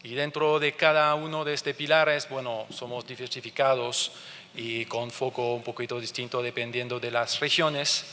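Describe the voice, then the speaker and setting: A halting French Canadian man speaking in a reverberant conference hall. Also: medium pitch, fairly even intonation, bright, forceful tone, a little hiss or hum. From France and Canada. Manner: halting, searching for words, many restarts. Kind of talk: conference presentation.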